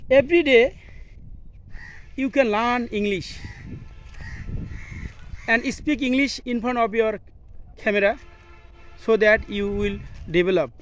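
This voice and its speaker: A man from Bangladesh, a native Bengali speaker with a Bangladeshi accent, giving an instructional vlog outdoors in halting, simple English. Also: very high-pitched, fairly bright tone, poor audio. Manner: halting, simple learner's English with short broken phrases. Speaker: English teacher and video host. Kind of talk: instructional vlog, talking to camera. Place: outdoors, open scenic spot. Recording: outdoor noise, faint birds calling.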